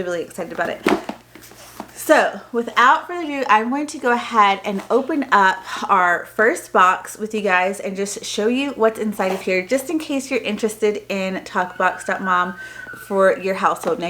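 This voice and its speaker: A woman's voice: medium pitch, a bit bright, slight background noise. A woman chatting informally to her viewers as a homeschooling parent vlogger, explaining things as she shows them in a casual unboxing style.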